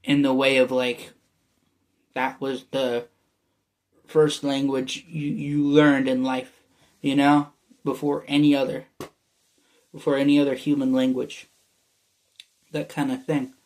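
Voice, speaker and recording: A man's voice: medium pitch, little pitch movement, slow, pauses often. A young man from the United States with an American accent that people mistake for Canadian, talking in a meandering casual monologue full of fillers and restarts. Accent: American, often heard as Canadian. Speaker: vlogger.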